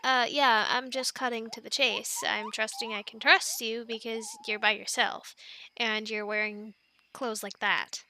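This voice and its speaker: A woman's voice: a little high, steady tone, a little slow. A woman playing a quirky, awkward young animal scientist stranded on an island, stammering and rambling in an ASMR audio roleplay. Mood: wary, explaining. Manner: stammering, rambling, flustered, urgent hushing in whispers.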